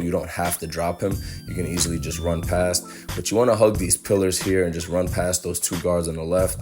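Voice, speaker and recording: A young man with a very low voice talks through a game run in a relaxed, chatty way, with plenty of ums and uhs. His voice is a little hoarse from a cold. Loud game music plays clearly behind him. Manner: laid-back, chatty, with many fillers. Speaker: gaming guide creator. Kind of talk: casual game walkthrough commentary.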